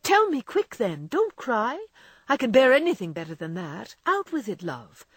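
An anxious young husband, tender but flustered, hurrying his words as he coaxes his wife, voiced by a woman narrating. Her breathy tone rises and falls widely, recorded close and dry. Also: lowish voice, big pitch swings, moderate pace, a bit bright, dry recording, heavily acted.

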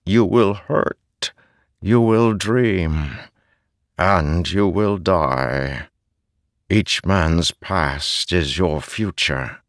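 A deep, haunting spirit of solemn wisdom, played by a male narrator, from a fantasy audiobook. It speaks very slowly and deliberately, weighing each phrase in a close, dry sound. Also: no echo, heavily acted. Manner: slow, deliberate, measured pronouncements.

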